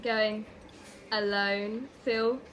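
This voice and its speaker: A woman's voice: mid-range voice, fairly even intonation, very slow, muffled recording, a little hiss or hum. A woman playing a sassy, flirtatious waitress with snappy comebacks in a 1950s radio detective drama. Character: sassy, flirtatious female diner waitress, quick to bristle.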